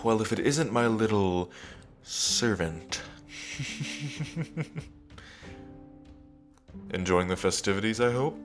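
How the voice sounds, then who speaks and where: A cocky young dragon king, acted by a man, speaks in a low, slow voice. He is casual and playful, teasing the listener fondly in an intimate fantasy ASMR roleplay. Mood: merry, boastful.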